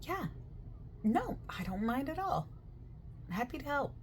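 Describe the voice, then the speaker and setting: A young woman in a soft-spoken ASMR roleplay as a gym staff member, chatty and over-explaining with lots of fillers. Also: high voice, sing-song, measured pace, slight background noise.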